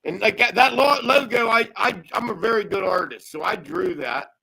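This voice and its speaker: A man's voice: sits high, lively intonation, moderate pace, gravelly, a crowd in the background, rough recording. A man in his fifties, a podcast guest chatting casually, rambling and laughing often. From Pennsylvania. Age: 50s.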